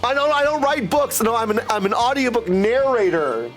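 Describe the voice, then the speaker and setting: High-pitched, chatty man streaming. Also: animated, moderate pace, fairly bright tone. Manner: chatty, hops between chat replies, repeats catchphrases. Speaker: livestream host playing a video game; voice actor and audiobook narrator. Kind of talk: casual livestream chat with viewers. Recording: music playing behind the voice. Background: music.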